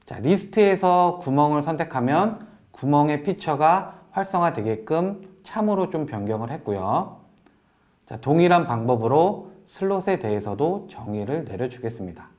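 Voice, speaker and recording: A man presenting a software tutorial in a polite, explanatory way, walking through each step as an instructor. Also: a little high, expressive, moderate pace. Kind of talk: software tutorial narration over screen demo.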